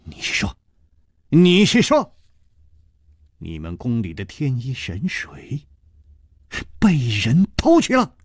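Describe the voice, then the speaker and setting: A man playing a suave, teasing roguish hero, unhurried. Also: medium pitch, huge pitch swings, a little mellow, dry recording, studio quality, full character voice. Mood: startled. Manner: unhurried, playful, mocking. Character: suave, teasing roguish martial-arts hero, master thief.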